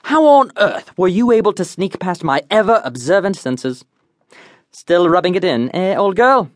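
An actor playing an eccentric, pompous detective, declaiming absurdly confident deductions in a theatrical comic radio-drama style. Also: male voice, sits high, sing-song, moderate pace, no echo, clean studio sound. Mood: flustered.

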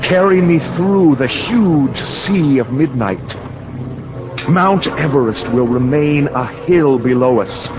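A man's voice: fairly high pitch, expressive, takes it slowly. An actor playing a privileged young dreamer who tells his story in intense, ornate radio drama narration. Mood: impassioned. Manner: ornate, intense, poetic delivery. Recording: dull lo-fi audio under loud music.

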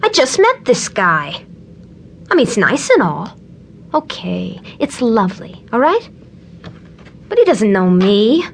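An actress playing a guarded, flustered woman in an audio drama, talking in a halting, conversational way. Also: on the high side, wildly swooping pitch, gravelly, slight background noise, exaggerated voice. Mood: exasperated, defensive. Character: guarded, flustered woman reading a suitor's letters aloud.

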